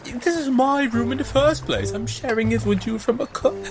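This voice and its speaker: A woman voicing a prim, exasperated gentleman adventurer with fussy, pointed phrasing in tabletop roleplay. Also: on the high side, normal speed, lightly acted. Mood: indignant. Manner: fussy, pointed, formal wording. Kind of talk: improvised in-character tabletop roleplay.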